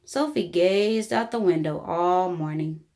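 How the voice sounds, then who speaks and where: A woman reading a children's book aloud in an expressive, deliberate storytelling style. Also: lowish voice. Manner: animated, deliberate storybook delivery. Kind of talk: expressive read-aloud narration for young children.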